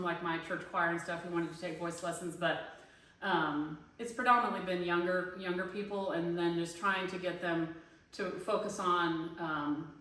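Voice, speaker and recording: A woman in her fifties with a bright voice, talking through her thoughts in a loose, rambling way full of "um" and "you know". She sits away from the mic in a very echoey room, so her voice sounds hollow and reflected. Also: fairly low pitch, far from the mic. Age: 50s. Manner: conversational, lots of fillers and restarts, thinking aloud. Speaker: online voice pedagogy student and singing teacher. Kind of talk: casual spoken class discussion post.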